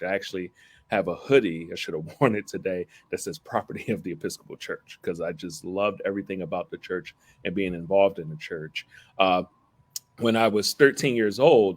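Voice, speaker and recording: A man who is an Episcopal priest, raised in Philadelphia, answering interview questions in an earnest, storytelling way with small laughs, over a video call. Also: low voice. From Philadelphia, family from Barbados.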